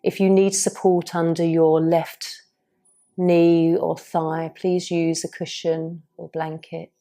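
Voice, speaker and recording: A woman teaching a yoga class, guiding the poses and breathing in a soft, soothing, unhurried way. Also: low voice, level tone. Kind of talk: guided yoga class instruction.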